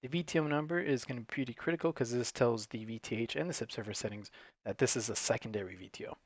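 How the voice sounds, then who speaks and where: A man's voice: medium pitch, quick pace, no room sound. A man giving an unscripted, matter-of-fact, step-by-step tutorial in an instructional way. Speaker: technical support presenter.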